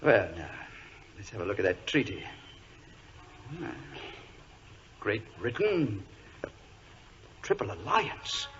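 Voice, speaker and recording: An actor playing a high-strung, well-bred young government clerk in a 1940s radio drama, polite, excitable and wordy. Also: male voice, slow, long pauses, exaggerated voice. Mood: brisk, polite. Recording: muffled, noisy vintage radio recording.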